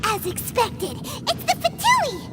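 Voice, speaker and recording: A woman voicing a tiny, childlike, excitable fairy companion in a video game, squeaky and chatty. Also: slow pace, background noise, heavily acted. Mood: nervous.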